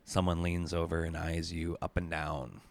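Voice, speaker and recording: A man narrating a dramatic spoken-word piece in an intense, deliberate, emotive way with weighted pauses. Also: sits low, flat delivery, no room sound. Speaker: narrator, essayist. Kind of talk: dramatic spoken-word storytelling in second person.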